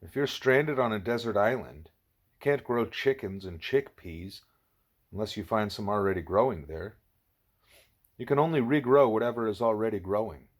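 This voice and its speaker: A man's voice: fairly low pitch, moderate pace, lightly acted. A man reading the part of an earnest, inquisitive young girl pupil in an audiobook, questioning to check understanding. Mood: earnest, probing.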